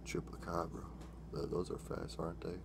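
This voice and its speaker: A young man with a low, gravelly voice rambles through a casual taste test, often stumbling and correcting himself. He sits close to a phone camera in a small indoor room. A steady air-conditioning hum runs behind him. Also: moderate pace. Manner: rambling, self-correcting, excitable when tasting. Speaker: drink-mixing vlogger.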